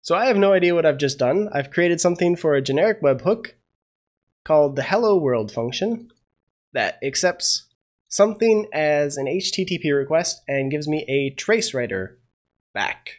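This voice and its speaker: A man's voice: fairly high pitch, expressive, moderate pace. A young man getting over a cold, walking through a coding tutorial as he thinks aloud, with lots of "uh" and unsure asides. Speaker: programming tutorial host. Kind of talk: casual screen-share coding tutorial.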